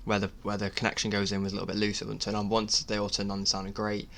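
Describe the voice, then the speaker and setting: A man talks casually over his DIY build video in a low, very even voice. He is hesitant and modest, often halting as he explains. There is clear noise in the recording behind him. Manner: hesitant, halting, self-effacing. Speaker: DIY builder narrating own project.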